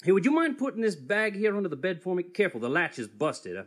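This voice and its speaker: A man playing a polite, secretive newcomer, courteous but evasive, with a husky voice in a live comic radio play. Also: sits high, sing-song, quick pace. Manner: courteous, hesitant, nervously evasive.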